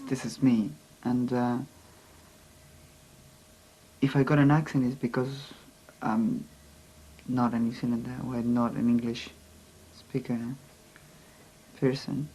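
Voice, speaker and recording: Mellow-voiced man with a Spanish accent. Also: medium pitch, little pitch movement, husky, poor audio. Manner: earnest, halting, with restarts. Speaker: immigrant musician. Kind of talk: documentary interview.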